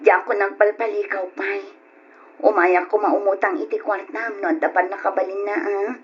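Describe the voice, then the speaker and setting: A woman's voice: medium pitch, little pitch movement, moderate pace, lo-fi sound, a little hiss or hum, close to an everyday voice. A woman with an Ilocano accent playing a poor, worn-down, pleading mother in an old radio drama. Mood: pleading, anxious.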